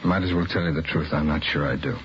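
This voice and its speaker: An actor playing a bewildered, grieving father in a 1950s radio drama, speaking haltingly and subdued. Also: extremely low pitch, steady tone. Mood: grieving, lost. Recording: muffled vintage radio recording, faint hiss.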